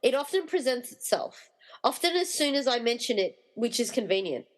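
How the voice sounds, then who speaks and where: Woman doing wry excitable rescuer gal, comedy audio drama, very animated, slightly high voice. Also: normal speed, low-quality recording. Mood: wry, matter-of-fact. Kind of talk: amateur comedy radio play dialogue.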